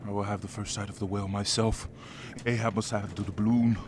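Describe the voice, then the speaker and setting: An actor playing an obsessed, vengeful old whaling captain, brooding and declaiming feverishly in an audio drama. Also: male voice, on the low side, little pitch movement, brisk, a little mellow, close to an everyday voice. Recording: clear ambient noise over voice.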